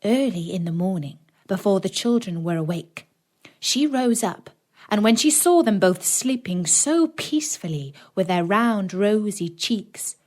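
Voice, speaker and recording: Woman reading a kids fairy tale, slow and dramatic, voice goes up and down a lot. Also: mid-range voice, animated, a little mellow. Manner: dramatic, deliberate storytelling. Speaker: audiobook narrator. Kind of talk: scripted, expressive children's story narration.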